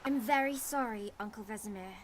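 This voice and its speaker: A woman's voice: a little high, brisk, slight background noise, natural voice. An actress playing a cheeky, mischievous young girl training as a fighter in a video game. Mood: sheepish. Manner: cheeky, reciting. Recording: game audio with background ambience.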